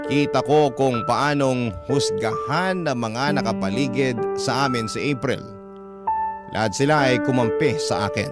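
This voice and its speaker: A man with a mid-range voice reads a scripted, dramatic first-person story in the style of a radio host. Loud background music runs underneath his narration. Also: mid-range voice.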